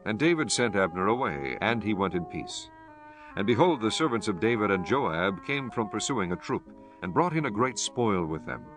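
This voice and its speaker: A man's voice: lowish voice, big pitch swings, moderate pace. A man narrating a dramatized scripture audiobook in a scripted, formal reading style. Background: instrumental music.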